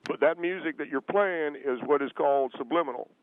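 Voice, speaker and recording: A thin phone line carries a man acting as a defensive, humorless male caller in a comedy radio phone-in. His voice sits a little high, and he pleads his case haltingly, with earnest indignation. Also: no echo. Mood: indignant, suspicious. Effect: telephone line. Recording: tinny, band-limited phone line.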